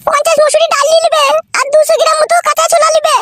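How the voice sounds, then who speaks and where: A tiny, squeaky cartoon wife from a village. Her voice is extremely high and childlike, and she rattles along very fast, affectionate yet blunt. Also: level tone, fairly bright tone, dry recording, clean studio sound. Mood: plain, homely. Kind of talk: comedy cartoon dub.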